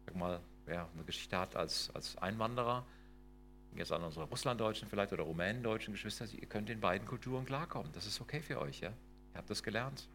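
A man's voice: lowish voice, brisk, a bit bright, slight background noise. A middle-aged man giving a lecture, emphatic and full of rhetorical questions and tag questions. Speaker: lecturer on intercultural work. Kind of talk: lecture with slides.

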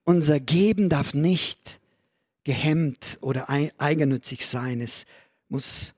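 A man preaching a sermon in a church, earnest, rising loud on key phrases and sinking to a near whisper. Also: fairly high pitch, slow, breathy edge, dry recording, studio quality. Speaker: pastor.

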